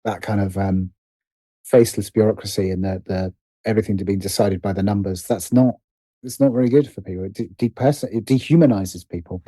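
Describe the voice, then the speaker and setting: A man's voice: on the low side, steady tone, a little fast, dark tone, slightly rough, dry recording. A British man speaking as a podcast guest in casual conversation, hesitant and thoughtful as he circles around his points. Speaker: podcast guest, writer and producer.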